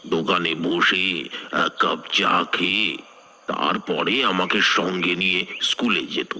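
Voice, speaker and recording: Deep, slow actor as old tea seller. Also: male voice, sharp tone, a little hiss or hum, full character voice. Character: old village tea seller recounting his hard orphaned youth. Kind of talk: scripted story narration for an animated horror tale. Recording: music bed behind the voice.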